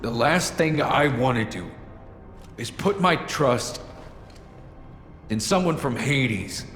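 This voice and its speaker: An actor playing a tough, wary, pragmatic armed operative in a video game, speaking in a measured, hard-edged and persuasive way. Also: male voice, medium pitch, expressive, fairly bright tone. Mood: grim, forceful. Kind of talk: video game cutscene acting. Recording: echoey hall with long reverb, music behind.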